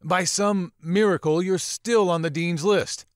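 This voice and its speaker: A man voicing a stern, formal older male professor in a visual novel, speaking in a measured way. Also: high voice, steady tone, no echo, studio quality, pitched above the performer's own voice. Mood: stern reproach.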